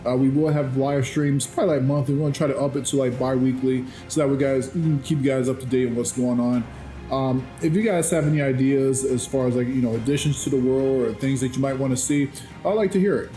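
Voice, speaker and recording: Mellow male host in a roomy space. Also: mid-range voice, quick pace. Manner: informal, conversational. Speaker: story creator and channel host. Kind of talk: casual unscripted outro to viewers. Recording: roomy echo with music behind. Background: music.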